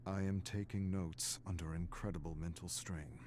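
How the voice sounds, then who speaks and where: An actor playing a grave, weary scholarly archivist with a morbid fascination, narrating dark game records in a deliberate, brooding way. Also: male voice, sits low, fairly even intonation, slight background noise, lightly acted. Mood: strained, grave. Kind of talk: dramatic scripted game narration. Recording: clear music behind the voice.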